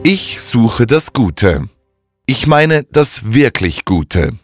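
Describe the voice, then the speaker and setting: A man reading a show introduction in a slow, deliberate announcing manner. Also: medium pitch, very animated. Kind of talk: scripted intro. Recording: over loud organ music.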